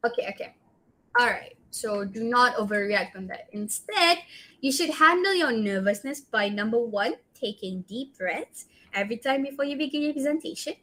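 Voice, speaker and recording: A woman's voice: a little high, animated, normal speed, bright, forceful tone. A woman with a Malaysian accent giving a public speaking workshop talk, offering direct advice and tagging her sentences with "right?" and "okay?". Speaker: guest speaker.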